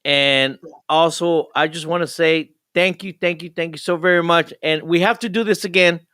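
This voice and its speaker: A man hosting a radio talk show and interviewing a guest, speaking in a halting way with many restarts. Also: fairly high pitch, fairly even intonation, sharp tone, no echo, clean studio sound. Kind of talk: casual interview.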